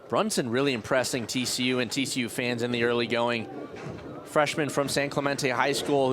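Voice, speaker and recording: A man doing live radio baseball play-by-play as the announcer, excitable on big plays with drawn-out calls. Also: mid-range voice. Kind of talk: live sports commentary. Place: outdoor baseball stadium broadcast. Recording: loud crowd and stadium noise behind. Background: crowd, ballpark ambience.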